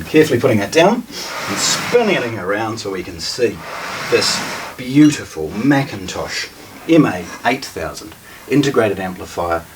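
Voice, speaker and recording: A man giving an informal, measured product demonstration as a shop presenter, explaining with appreciation. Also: lowish voice. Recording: distant mic with faint hiss.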